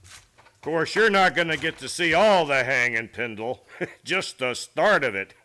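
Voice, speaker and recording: Man acting a bright, taunting cowboy bully. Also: a little high, animated, measured pace. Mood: menacing. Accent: American. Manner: taunting folksy drawl. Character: menacing, mocking male cowboy bully. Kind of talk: Western radio play acting.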